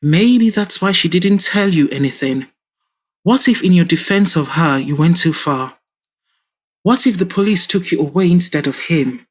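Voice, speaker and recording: A woman voicing a patient grown daughter who reasons calmly and firmly with her father. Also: on the low side, moderate pace, breathy edge. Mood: coaxing. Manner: calm, coaxing, reasoning. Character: grown daughter, patient and firm, fashion designer.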